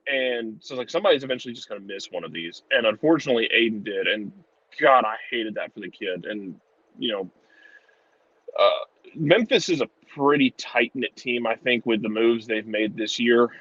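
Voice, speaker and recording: A man's voice: fairly low pitch, fairly bright tone, no room sound, highs cut off. A man giving casual sports analysis in an informal, conversational way, repeating words for emphasis. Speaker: soccer show commentator.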